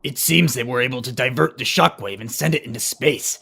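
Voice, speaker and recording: A man playing an earnest, alarmed young hero in an animated fantasy, speaking with dramatic urgency. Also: medium pitch, fairly quick, vocal fry, very noisy, close to an everyday voice. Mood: worried.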